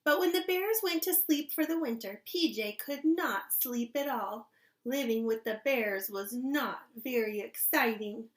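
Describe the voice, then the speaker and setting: High-pitched, unhurried woman reading to kids. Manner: expressive, pausing to ask listeners questions. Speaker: kindergarten teacher. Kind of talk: storybook read-aloud for young children.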